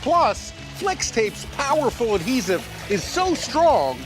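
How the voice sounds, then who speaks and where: A man giving an emphatic, punchy TV infomercial sales pitch. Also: very animated, slow, music in the background. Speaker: product pitchman.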